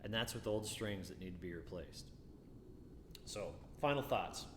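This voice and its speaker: A man with a low, deeper voice talks casually through a guitar review, relaxed and musing, often doubling back on his words. He sounds like he is in a roomy space with a long reverb tail and a faint hum of ambience behind him. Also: unhurried.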